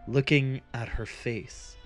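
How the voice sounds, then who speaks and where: Male fantasy narrator: very slow, solemn, measured, music underneath. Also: medium pitch, steady tone. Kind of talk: scripted dramatic fantasy narration.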